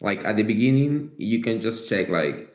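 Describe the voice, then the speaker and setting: Male, lowish voice, informal meeting talk, thinking aloud, reverberant room. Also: lively intonation, moderate pace. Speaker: working group participant. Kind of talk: casual meeting discussion. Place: online group call. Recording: echoey room sound.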